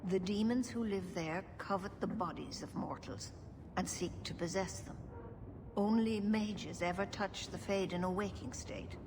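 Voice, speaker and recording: An actress playing a wise, grave elven keeper in a video game, formal and measured. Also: female voice, mid-range voice, natural voice. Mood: grave, instructive. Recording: noisy game ambience behind.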